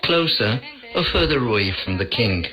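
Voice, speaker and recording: A man presenting a scripted radio feature in a formal, measured way, heard on a shortwave broadcast. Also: fairly low pitch, animated, a crowd in the background. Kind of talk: scripted radio feature narration with translated voice-over.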